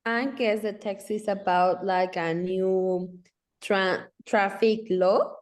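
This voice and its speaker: A woman's voice: mid-range voice, fairly even intonation, slow pace. Woman student, hesitant, lots of likes and uhs, mid voice. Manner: hesitant, many fillers. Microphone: video call.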